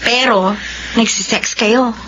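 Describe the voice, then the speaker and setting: A woman's voice: fairly high pitch, steady tone, slow pace, sharp tone. A woman playing a blunt, prying, scolding friend with a Filipino accent in a radio drama. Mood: prying, suspicious. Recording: lo-fi radio drama, noisy background ambience.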